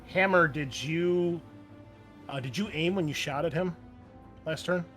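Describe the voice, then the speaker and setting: A man's voice: a little high, a little slow, a bit bright, faint music behind. A man running a tabletop game, narrating action dramatically in casual, profanity-laced speech. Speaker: game master.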